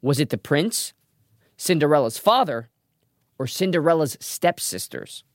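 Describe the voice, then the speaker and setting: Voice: mid-range voice, expressive, unhurried. A trivia show host presenting a scripted quiz in a playful way, with wry asides. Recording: music behind the voice.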